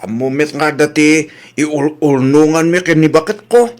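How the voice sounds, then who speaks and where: Henpecked broke worker played by a man, comedy drama, very high male voice, pleading, Filipino accent. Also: unhurried, natural voice.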